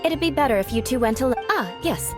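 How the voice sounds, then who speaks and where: Proud young noble knight for a game: highish, animated, formal, dramatic grudge-holder, music behind. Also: female voice, a little fast. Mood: composed. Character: haughty young aristocratic woman knight, theatrically vengeful, secretly kind. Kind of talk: English video game voice acting.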